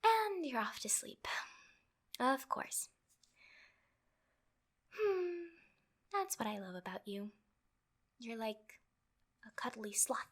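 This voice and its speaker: A woman playing a nervous, sweetly clingy yandere girlfriend in a comedic roleplay audio, rambling with halting stammers. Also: fairly high pitch, animated, fairly bright tone, exaggerated voice. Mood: tender, doting.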